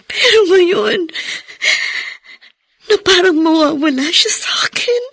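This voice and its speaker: A woman playing an anguished, tearful young unwed mother in a Filipino radio melodrama, pleading haltingly for her sick child. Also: extremely high pitch, lively intonation, gravelly, fairly bright tone, no room sound, exaggerated voice. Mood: sobbing, pleading.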